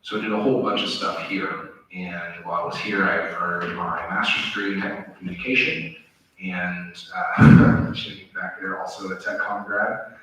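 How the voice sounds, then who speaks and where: A man's voice: low-pitched, fairly even intonation, normal speed, a bit bright. A man introducing himself on a panel in long, articulate sentences. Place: lecture theatre. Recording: echoey room from far off.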